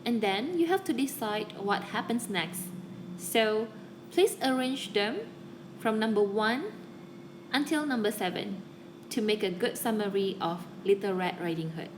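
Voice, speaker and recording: A woman teacher giving instructions to students, explaining deliberately phrase by phrase. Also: mid-range voice, animated. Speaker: English teacher. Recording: clear background noise.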